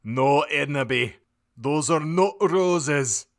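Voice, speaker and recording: A man voicing an earnest, hot-tempered goth groundskeeper in an audiobook, declaiming in drawn-out, overwrought phrases. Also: on the high side, expressive, pitched above the performer's own voice. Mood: earnest, wounded.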